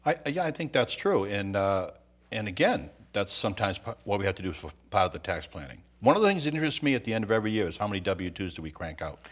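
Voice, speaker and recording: Brisk low-voiced male accountant. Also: sing-song. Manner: brisk, matter-of-fact explaining. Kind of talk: interview guest.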